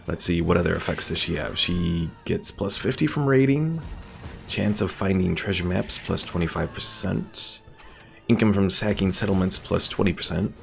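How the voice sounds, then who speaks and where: A young man with a low voice and a fair bit of vocal fry. He chats casually as a game streamer, easygoing, with faint music behind him. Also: moderate pace. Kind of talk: casual live game commentary.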